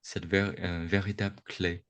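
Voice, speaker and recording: A man speaks in a low, unhurried voice, feeling his way through each thought with pauses and restarts. He gives a reflective Buddhist talk over a video call, and the sound is close and dry with no room echo. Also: little pitch movement, fairly bright tone, clear, resonant tone. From United States. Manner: slow, halting, with many restarts and pauses. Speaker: Buddhist teacher speaking to young adults. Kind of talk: reflective dharma talk on a webinar. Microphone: video call microphone.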